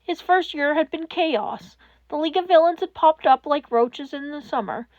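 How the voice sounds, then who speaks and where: A woman reading a fan fiction story aloud in expressive scripted narration. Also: sits high, dry recording, highs cut off.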